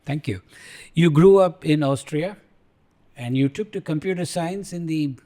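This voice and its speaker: A man hosting a podcast, giving measured, formal introductions and asking questions. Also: a little high, mellow. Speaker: podcast host. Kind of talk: interview hosting.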